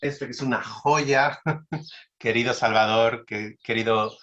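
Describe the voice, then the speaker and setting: A man's voice: medium pitch, steady tone, slow, pushed and bright. A man hosting an interview, reading a formal introduction with stumbles and corrections. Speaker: interviewer and host. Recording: dull lo-fi sound.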